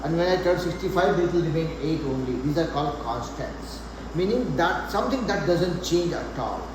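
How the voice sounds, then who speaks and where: A man in his forties teaches math to a live class, talking patiently and checking in with students. His voice sits high for a man. The room rings with a long, echoing reverb. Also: animated, moderate pace. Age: 40s. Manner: patient, repeats points, asks students questions. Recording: echoey room, faint background noise.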